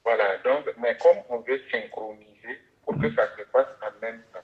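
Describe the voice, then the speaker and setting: A man with a West African French accent speaks haltingly on a private phone call, searching for words. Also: medium pitch. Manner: halting, searches for words, vague coded wording. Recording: tinny narrow-band phone line.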